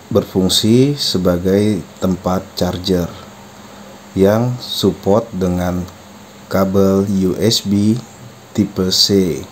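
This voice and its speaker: A man walks through a product demonstration step by step. His voice is low and his delivery is slow and instructional. There is faint noise behind him. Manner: explanatory, instructional. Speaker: product tutorial presenter. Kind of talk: step-by-step product tutorial narration.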